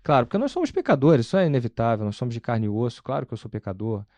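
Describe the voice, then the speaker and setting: A man's voice: mid-range voice, big pitch swings, very fast, a little mellow, no echo. Brazilian man explaining things emphatically. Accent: Brazilian, Carioca. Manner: emphatic, explanatory, asks and answers own questions. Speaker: interview guest. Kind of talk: conversational testimony about personal faith.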